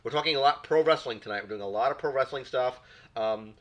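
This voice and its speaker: A man hosts a casual live stream from a home desk mic, his voice carrying the tail end of a cold. His tone is bright and forward. He rambles easily, doubling back on words as he chats to his viewers. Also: medium pitch, fast talker. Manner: rambling, repeats words, greets chat viewers. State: recovering from a cold. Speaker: stream host.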